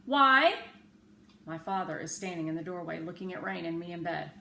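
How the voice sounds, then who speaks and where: A woman narrating a children's story aloud in a measured, clearly phrased way. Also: fairly low pitch, level tone. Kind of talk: children's audiobook-style storytelling. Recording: roomy echo with faint background noise.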